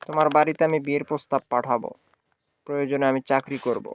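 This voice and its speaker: A man's voice: mid-range voice, steady tone, quick pace, a little hiss or hum, natural voice. A man playing a courteous, earnest young village businessman in a cartoon. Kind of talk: cartoon dialogue.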